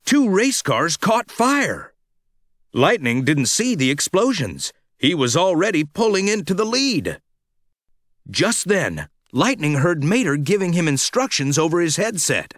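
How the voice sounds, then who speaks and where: Animated, unhurried male children's storyteller. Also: a little high, no room sound, clean studio sound. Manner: dramatic, expressive delivery for children. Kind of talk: scripted read-along storytelling.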